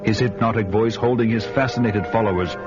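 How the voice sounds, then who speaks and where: Vengeful barbarian hero, voiced by a man, grim measured narration, low-ish, lo-fi with score behind. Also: steady tone, natural voice. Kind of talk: first-person radio drama narration. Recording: dull lo-fi audio with music behind.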